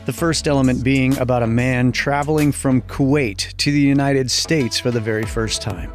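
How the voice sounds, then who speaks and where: A man hosts an interview podcast in a mid-pitched voice, with faint music behind him. His delivery moves between prepared introduction and conversational questioning. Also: normal speed. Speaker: podcast host.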